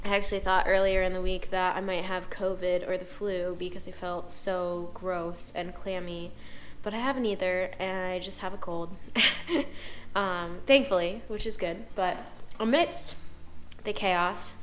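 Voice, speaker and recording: A woman's voice: mid-range voice, roomy sound, a little hiss or hum. A woman with a head cold and a congested voice, chatting casually in a vlog while caring for horses and talking sweetly to them. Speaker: vlogger and horse rider. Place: horse barn.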